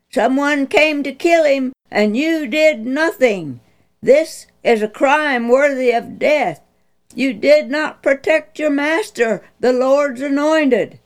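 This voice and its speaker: A woman's voice: on the low side, a little mellow. A woman voicing an earnest young male hero, calling out and pleading in a storybook reading.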